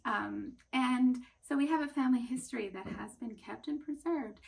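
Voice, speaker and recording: A woman talks earnestly to camera in an unscripted vlog, wandering through her points with plenty of ums. Her voice sits somewhat high and carries a gravelly fry. She sounds a little distant from the microphone. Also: moderate pace. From Quebec. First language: French. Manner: earnest, rambling with many ums. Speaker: vlogger.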